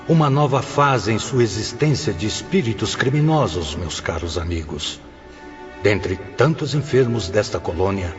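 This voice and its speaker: A man playing a solemn, grave spirit guardian leader in an audiobook drama, speaking formally and in a measured way. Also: lowish voice, big-room sound. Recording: dull lo-fi audio under music.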